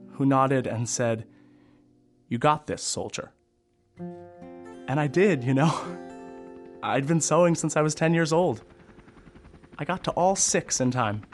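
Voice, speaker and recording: Actor as candid veteran costume designer, music behind. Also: male voice, mid-range voice, lightly acted. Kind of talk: audio drama acting.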